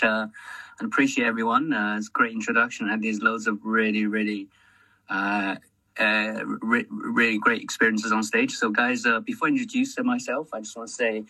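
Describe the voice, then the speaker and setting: A man's voice: fairly low pitch, bright, forceful tone, breathy edge, recorded from a distance, low-quality recording. A man from China moderating a panel and introducing himself.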